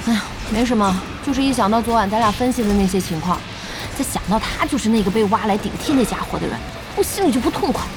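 Actress doing excitable young gamer girl, high swoopy voice, chatty and impatient, audio drama. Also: fast talker, music in the background, close to an everyday voice. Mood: annoyed. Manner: animated, exclamatory, chatty. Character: excitable, impatient young woman e-sports fan, Guoguo.

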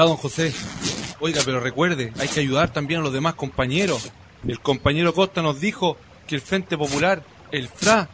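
A Chilean actor playing a working-class comrade and organiser who reassures others in a radio drama. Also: male voice, on the high side, close to an everyday voice. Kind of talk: radio play acting. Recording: noisy recording with ambience.

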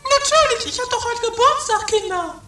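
A woman's voice: extremely high pitch, expressive, normal speed, pushed and bright, full character voice. A woman playing a dramatic, bossy, whiny wife in an amateur comedy radio play. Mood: excited, indignant. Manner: theatrical, whiny, exclaiming. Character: Mathilde, dramatic bossy shrill wife in a castle. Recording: roomy distant 1980s home recording, music behind.

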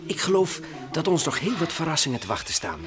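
A man's voice: on the high side, big pitch swings, fast, a crowd in the background. An actor playing an easygoing, sensible male adventurer in an old children's radio play, dryly teasing and conciliatory. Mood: curious.

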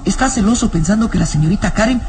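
A woman's voice: mid-range voice, expressive, fast, darkish tone, a little hiss or hum, close to an everyday voice. A woman acting a loyal, wary young boy companion in an old radio serial, earnest and deferential.

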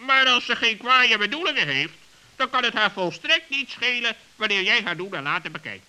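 A man's voice: very high-pitched, lively intonation, normal speed, smooth, heavily acted, low-quality recording. A man playing a clever, persuasive talking bird with a piercing voice and a pedantic, emphatic manner in a children's radio play. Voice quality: sharp, piercing.

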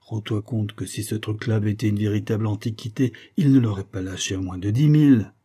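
Man acting a gruff, suspicious tourist husband. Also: lowish voice, steady tone, fairly quick, dark tone. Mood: pleading. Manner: gruff, sardonic, colloquial. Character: grumbling, suspicious, penny-pinching American tourist husband.

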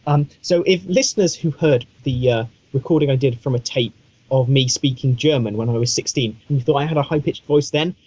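A man's voice: mid-range voice, a little fast, darkish tone, slightly rough, dull audio. A man chatting casually as a podcast co-host, with a wry, self-mocking and discursive way of talking.

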